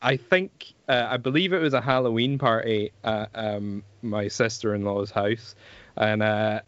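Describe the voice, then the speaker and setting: A man's voice: fairly low pitch, steady tone, unhurried, fairly bright tone, clear, resonant tone, dry recording, highs cut off. A man co-hosting a podcast with casual comic chat, jokey and self-deprecating as he tells anecdotes. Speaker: podcast co-host.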